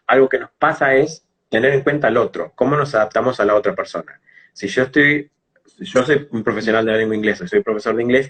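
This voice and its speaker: A man's voice: lowish voice, fairly bright tone, breathy edge, poor audio. A man with a Rioplatense Argentine accent, speaking as an English teacher in an interview, explaining things didactically with everyday examples. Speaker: English teacher and phonetics specialist being interviewed.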